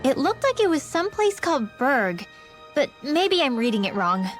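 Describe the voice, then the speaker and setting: A woman's voice: sits high, sing-song, normal speed, faint music behind. A woman voicing a curious, studious young female mage in a video game, earnest and bookish in her talk. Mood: pondering. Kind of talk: video game cutscene acting.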